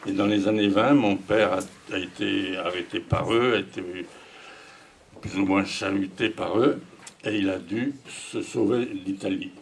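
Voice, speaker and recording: A man in his nineties speaks to a school class in a low, unhurried voice. He tells his testimony slowly and deliberately, pausing often as he goes. A faint hum of room ambience sits behind him. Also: fairly even intonation. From France. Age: 90s. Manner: slow, deliberate storytelling with frequent pauses. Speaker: wartime witness speaking to students.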